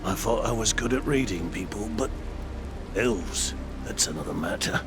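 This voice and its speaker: An actor playing a weary, dying old corsair captain in a dramatic game cutscene, with halting, breathy, salty seafarer speech. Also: male voice, on the low side, animated, lightly acted. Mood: grave, uneasy. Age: older. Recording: loud music and rain behind.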